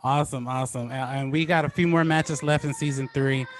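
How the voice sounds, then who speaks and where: A man's voice: mid-range voice, steady tone, brisk, slight background noise. A man hosting a casual debate show, talking through his judging of the match. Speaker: host and judge of a debate show.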